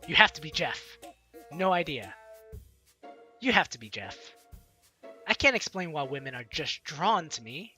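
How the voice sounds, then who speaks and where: Low-voiced, expressive woman playing through a game. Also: a little slow, long pauses. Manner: playful, expressive dramatic reading. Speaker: gaming video host. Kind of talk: reading visual novel lines aloud, playthrough commentary. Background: game music.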